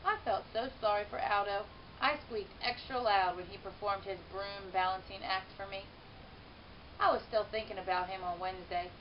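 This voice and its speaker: A woman's voice: mid-range voice, steady tone, normal speed. Woman reading a storybook through muffled hiss. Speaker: teacher reading a children's book aloud. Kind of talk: expressive storybook narration. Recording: muffled, hissy home-style recording.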